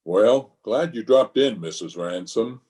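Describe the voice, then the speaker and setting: A man's voice: fairly low pitch, lively intonation, unhurried, a little mellow. A man playing a pompous middle-aged office boss in old-time radio comedy, stammering and hedging as he blusters. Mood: flustered. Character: flustered, evasive, pompous middle-aged male water department boss.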